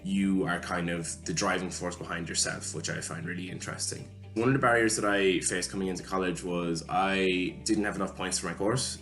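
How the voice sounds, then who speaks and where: A young man from Longford, a computer science and business student, giving a clear, even account to school students. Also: deep voice. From Longford, Ireland. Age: young adult.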